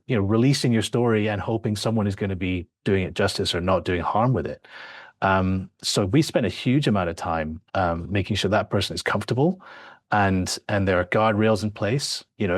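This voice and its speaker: Low-voiced reflective male filmmaker. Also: dry recording, studio quality. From born in Australia, raised in several countries. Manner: reflective, restarts often, many "you know" fillers. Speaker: filmmaker guest. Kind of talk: conversational podcast interview.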